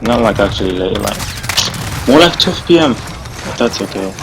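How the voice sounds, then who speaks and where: A man's voice: fairly low pitch, lively intonation, moderate pace, vocal fry, background noise. A young man casually telling a story, rambling and filling it with "bro" and "like". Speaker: gaming vlogger.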